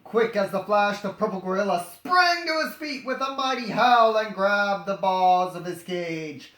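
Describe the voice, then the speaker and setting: A comic storyteller reads aloud in a roomy, echoing space. The pitch swings widely, and the reading keeps breaking into sarcastic, improvised asides with an animated delivery. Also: measured pace.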